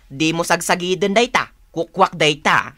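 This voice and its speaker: A woman playing an exasperated younger woman in a radio drama, expressive and protesting, with an Ilocano accent. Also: fairly low pitch, normal speed. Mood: scolding. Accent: Ilocano, northern Philippines.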